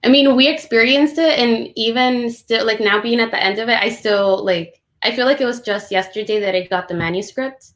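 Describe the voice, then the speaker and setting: A woman's voice: on the high side, normal speed, hardly any pauses, fairly bright tone. Informal, chatty young woman on a call. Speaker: children's book illustrator. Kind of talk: casual conversational book talk. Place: indoor home room on a video call. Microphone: video call, computer at desk distance.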